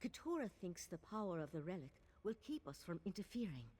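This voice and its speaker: An actress playing an earnest, resolute female mage in a fantasy game, explaining things in a measured, serious way. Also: fairly low pitch, big pitch swings, a little mellow, breathy edge. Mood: earnest, urgent. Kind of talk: fantasy game dialogue acting.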